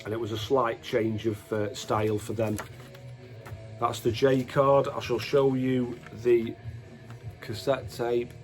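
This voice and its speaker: A man's voice: mid-range voice, level tone, slow pace, soft background music. A man giving casual, unscripted show-and-tell commentary as a host, in a hesitant, matter-of-fact way, often correcting himself. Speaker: host of a music collection video.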